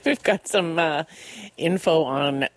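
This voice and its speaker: Quick, laughing radio co-host woman. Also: low voice, no echo. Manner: quick-witted, teasing, laughs easily. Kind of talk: casual morning show banter. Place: radio studio.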